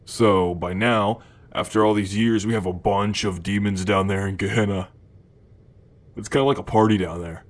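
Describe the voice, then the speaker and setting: A man's voice: fairly low pitch, fairly even intonation, moderate pace, natural voice. A man playing a cocky, food-obsessed male demon in an ASMR roleplay, talking to the listener in a casual, hesitant slacker drawl. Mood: casual bragging.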